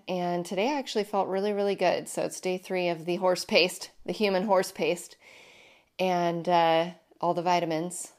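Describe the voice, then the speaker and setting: A woman in her thirties hosting her own podcast, talking casually with emphatic repetition, her voice hoarse and deepened from illness. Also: darkish tone. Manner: chatty, emphatic, repeats herself. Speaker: podcast host. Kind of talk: casual solo podcast monologue.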